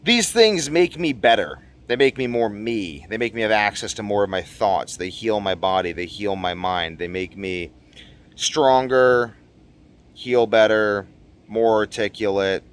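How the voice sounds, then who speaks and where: A man with a fairly low voice speaks off the cuff to his viewers. He rambles earnestly through his thoughts in a casual vlog style, leaning on repeated phrases to drive points home. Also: animated, unhurried, clear, resonant tone. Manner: rambling, earnest, emphatic repetition. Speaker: video blogger. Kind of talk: casual unscripted monologue to viewers.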